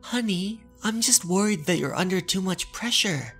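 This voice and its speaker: A man voicing a worried middle-aged mother in a horror manga dub, soft and concerned. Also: high voice, animated, normal speed, slightly rough, faint music behind, voice pushed up, full character voice.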